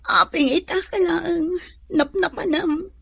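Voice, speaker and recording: A woman's voice: very high-pitched, steady tone, slow pace, creaky, a bit bright, dry recording, heavily acted. A woman with an Ilocano accent acting in a radio drama as a meek, troubled young pregnant wife, hesitant and plaintive. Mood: tearful, plaintive.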